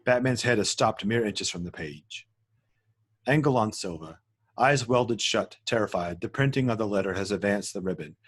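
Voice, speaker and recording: A man's voice: on the low side, moderate pace, slightly rough. A man narrating a screenplay at a table read, reading out scene headings and action as written. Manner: stumbles and corrects himself while reading. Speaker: narrator reading stage directions.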